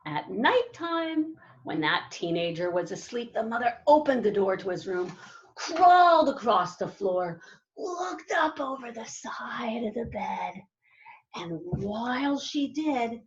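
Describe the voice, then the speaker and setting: A woman reading a children's storybook aloud like a teacher, with drawn-out, dramatic emphasis and asides to her young listeners. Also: on the high side, a bit bright, roomy sound, highs cut off. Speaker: elementary school teacher reading aloud to pupils.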